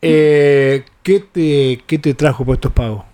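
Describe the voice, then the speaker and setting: A man with an Argentine accent hosting a live radio chat, relaxed and full of short encouraging remarks. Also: mid-range voice, takes it slowly, slight background noise. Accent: Argentine Spanish. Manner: easygoing, short encouraging interjections. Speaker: radio host. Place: radio studio.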